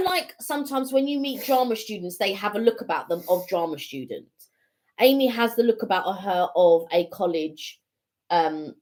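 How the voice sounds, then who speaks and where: A woman's voice: mid-range voice, darkish tone. A woman co-hosting a live stream from home, talking casually and at length with many fillers like "you know" and "like". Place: home room with a heater.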